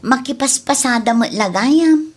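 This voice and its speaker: A woman's voice: high voice, animated, a little slow. A woman as an inquisitive young girlfriend. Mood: curious. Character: easygoing, curious young woman, a son's girlfriend. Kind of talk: radio drama acting.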